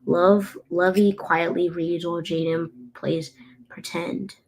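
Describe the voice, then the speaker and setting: A woman co-reading a picture book aloud carefully, halting now and then and repeating phrases. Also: lowish voice, little pitch movement, darkish tone, low-quality recording.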